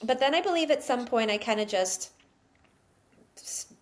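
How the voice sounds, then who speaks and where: A woman's voice: fairly high pitch, expressive, moderate pace. A woman who grew up in Sweden, chatting as a podcast guest. She talks loosely with plenty of filler words and laughs often as she tells her story. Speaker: guest, psychic channel. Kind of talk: casual interview chat.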